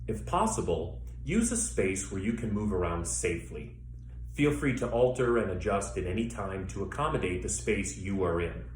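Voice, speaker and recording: Lively, low-voiced man teaching in echoey room. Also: normal speed. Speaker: theater educator leading an exercise. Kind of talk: instructional demonstration, guided exercise. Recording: echoey room with faint background noise.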